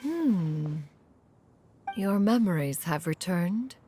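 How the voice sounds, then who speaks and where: A woman's voice: lowish voice, expressive, drawn-out words, mellow, slight background noise. A woman voicing an ancient, aloof female adeptus who speaks in a formal, archaic way. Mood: gentle. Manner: archaic formal speech, calls herself "one". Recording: faint music underneath.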